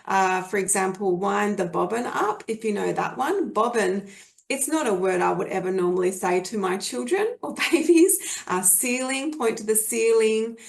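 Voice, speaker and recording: An Australian woman walks parents through early literacy skills in a friendly, explanatory webinar talk. Her voice has a gravelly, creaky edge and sits at a middling pitch. It sounds like it comes through a computer mic on a video call. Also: lively intonation, normal speed. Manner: friendly, explanatory, with frequent fillers and restarts. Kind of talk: webinar presentation.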